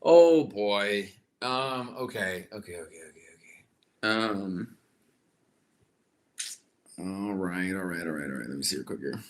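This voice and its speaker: A man with a slightly raspy voice hosting a casual livestream chat, rambling warmly with lots of fillers and restarts. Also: sits low, expressive, a little slow, pauses often, slight background noise. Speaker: livestream host. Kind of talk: casual live chat with viewers.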